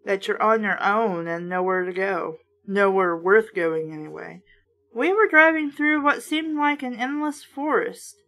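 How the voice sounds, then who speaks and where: A woman's voice: medium pitch, measured pace, bright, forceful tone. A woman reading a storybook aloud and breaking off for casual, sarcastic, sweary commentary. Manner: blunt, sarcastic, swears freely.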